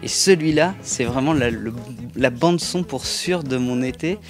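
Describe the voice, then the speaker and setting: A man's voice: medium pitch, a little slow, faint music behind. A man hosting a casual interview, asking long rambling questions and praising the album effusively. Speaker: interviewer and host.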